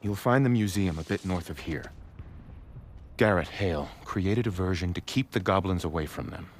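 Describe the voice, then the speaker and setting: A man's voice: sits low, moderate pace, slight background noise. An actor playing a stern, protective man of authority in a video game, solemn and commanding. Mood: informative. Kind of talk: dramatic video game cutscene acting.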